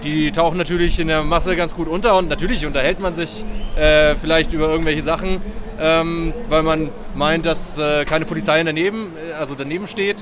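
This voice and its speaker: A man's voice: fairly high pitch, fairly even intonation, a little fast. A man, an activist being interviewed outdoors at a street festival, talking casually with many fillers and restarts. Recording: loud crowd around.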